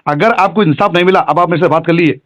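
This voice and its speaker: A man's voice: on the high side, fast, fairly bright tone. A middle-aged man with a Hyderabadi accent talking on a phone call, insistent and repetitive, addressing the listener respectfully. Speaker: local political activist.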